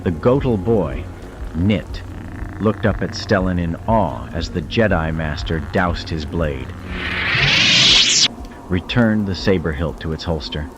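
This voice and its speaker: A man's voice: low voice, lively intonation, takes it slowly, long pauses, lo-fi sound, very noisy. A man reading scripted audiobook fiction as a dramatic narrator.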